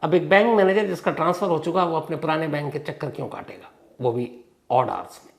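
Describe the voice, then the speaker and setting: A man hosting a crime storytelling show, speaking conversationally with dramatic pauses, strong stresses and phrase endings that drop away. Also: creaky. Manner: dramatic pauses and emphatic stresses, sentences trailing off low.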